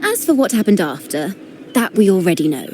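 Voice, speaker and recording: A woman playing a wry, mellow-voiced storyteller. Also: mid-range voice, very animated, brisk, faint music behind, lightly acted. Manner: wry, conversational recounting. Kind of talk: video game voice acting.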